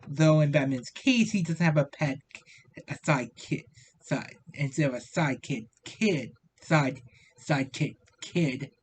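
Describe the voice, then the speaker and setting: A man with a slightly high voice delivers a casual review. His speech is halting, with words and syllables often stammered and repeated. A light layer of noise sits behind him. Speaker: video reviewer. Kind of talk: casual review commentary, loosely scripted. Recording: noticeable steady background noise.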